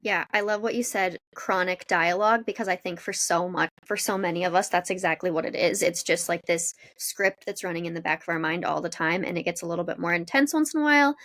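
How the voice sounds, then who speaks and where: Slightly low-voiced woman, dry close sound. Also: fast, few pauses, fairly bright tone, no room sound. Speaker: podcast co-host. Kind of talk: conversational advice-giving.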